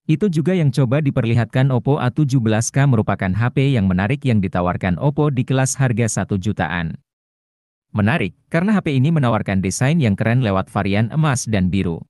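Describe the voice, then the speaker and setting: Mellow man, dry scripted narration. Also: mid-range voice, lively intonation, a little fast, dry recording. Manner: formal, informative. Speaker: narrator of gadget review videos.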